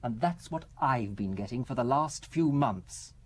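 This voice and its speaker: An actor playing a worried, fragile husband in an audio drama, speaking in a hesitant, halting, self-justifying way. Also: male voice, medium pitch, expressive, husky. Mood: earnest, uneasy. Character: worried fragile husband who suspects his wife of poisoning.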